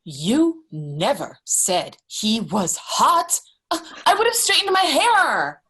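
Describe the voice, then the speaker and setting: A woman's voice: on the high side, very animated, slow pace, a bit bright, husky, heavily acted. An actress playing a sarcastic, image-conscious young woman in a screenplay table read. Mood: flustered.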